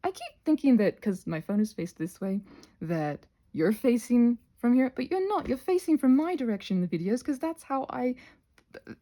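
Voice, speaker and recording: A woman hosting a casual craft tutorial, thinking aloud in a scattered, self-interrupting way with playful, expressive exclamations. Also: fairly high pitch, moderate pace. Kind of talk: rambling casual craft narration.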